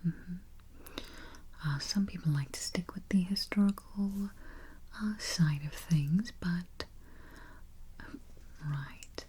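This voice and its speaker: A woman doing a soft, breathy, mostly whispered ASMR roleplay as a politely morbid vampire travel agent, murmuring with dry understatement close to the microphone. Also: on the low side, slow, pauses often. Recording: faint hiss, close keyboard typing and clicks. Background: typing, clicking and gentle tapping.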